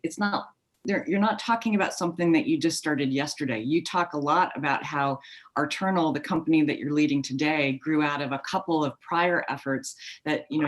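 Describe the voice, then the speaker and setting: A woman moderating a webinar panel, praising the speakers and leading into questions. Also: sits low. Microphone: video call.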